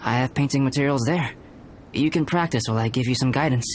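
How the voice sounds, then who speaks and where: An actor playing a calm, cerebral young male alchemist in a video game, speaking formally, precisely and with composure. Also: mid-range voice, fast talker, slight background noise, close to an everyday voice. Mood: calm, polite. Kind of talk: video game character acting. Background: background music.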